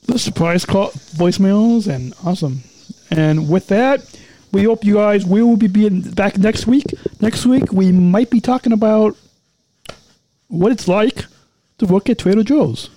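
A man's voice: high-pitched, a little slow, warm tone, a little hiss or hum, poor audio. A man co-hosting a casual podcast, signing off with repeated, stumbling, upbeat plugs. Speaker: podcast co-host.

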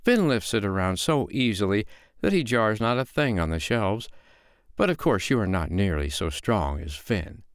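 A man voicing a calm, sly foster mother in a storybook, with a lilting, mock-innocent, needling way of talking. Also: lowish voice, moderate pace, clean tone, dry recording, clean studio sound. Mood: sighing, needling.

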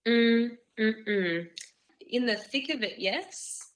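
A woman's voice: fairly high pitch, lively intonation, very slow. A woman musician being interviewed, reflecting thoughtfully and hesitantly as she searches for words.

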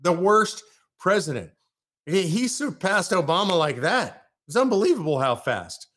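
A man's voice: sits high, very animated, moderate pace, fairly bright tone. An American man from California hosting a live political commentary show, ranting in heated, punchy bursts of repetition.

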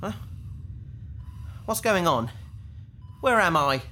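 A woman's voice: lowish voice, sing-song, takes it slowly, noisy background. A woman playing an indignant, pleading captive in a science fiction audio drama, speaking in an earnest, protesting way. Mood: alarmed, indignant. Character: indignant, pleading female captive victim on operating table.

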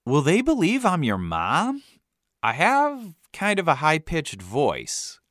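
A hesitant young shoe store manager, a woman played by a male narrator, speaks in doubtful questions. The tone is bright and close, in a comic storybook reading. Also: fairly high pitch, huge pitch swings, takes it slowly, no echo, full character voice.

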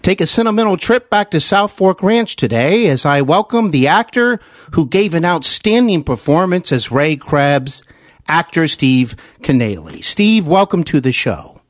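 A man hosting an interview podcast, with deliberate, emphatic announcer-like phrasing. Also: a little high, big pitch swings, no room sound, clean studio sound. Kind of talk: scripted introduction and interview hosting.

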